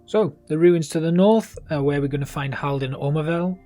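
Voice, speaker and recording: A man's voice: on the high side, normal speed, dark tone, no echo. A man hosting a gaming video, narrating a walkthrough in a casual style. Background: game music.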